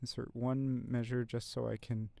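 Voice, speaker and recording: A man's voice: medium pitch, flat delivery, normal speed, creaky, dark tone. A man composing music on a live stream, thinking aloud in a casual, rambling way with many fillers. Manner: talks to chat, reads out viewer comments. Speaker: composer streaming his work.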